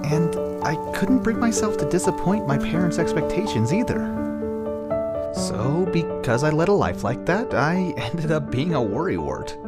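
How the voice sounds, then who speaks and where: Manga dub role: male actor as gentle, earnest eldest-brother type, mid-pitched with lively, swinging intonation, reassuring and confiding. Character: gentle, dutiful young man, a worrywart eldest brother. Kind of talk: anime-style manga dub acting.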